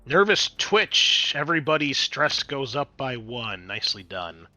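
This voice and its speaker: A man acts as game master for a tabletop roleplaying session. His voice is bright and forceful at a middling pitch. He moves between offhand explanations and dramatic description of the scene, with faint music underneath. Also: sing-song, unhurried, dry recording.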